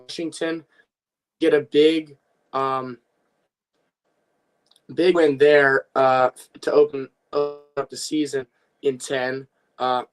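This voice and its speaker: A young man co-hosting a casual sports podcast, his voice a little worn from playing a game, recapping with halting restarts. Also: fairly high pitch, rough recording. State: slightly lost voice after a game. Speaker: podcast co-host.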